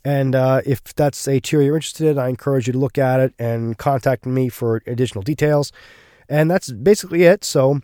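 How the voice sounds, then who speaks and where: A man hosting a podcast, his voice a little gravelly from being unwell, chatting casually with many fillers. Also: medium pitch, fairly quick, dark tone, no room sound, studio quality. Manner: frequent fillers and restarts, friendly. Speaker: podcast host.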